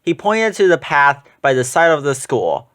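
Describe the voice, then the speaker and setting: Higher-pitched man reading a storybook. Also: big pitch swings, moderate pace, a bit bright. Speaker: storybook reader. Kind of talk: children's book read aloud, scripted narration.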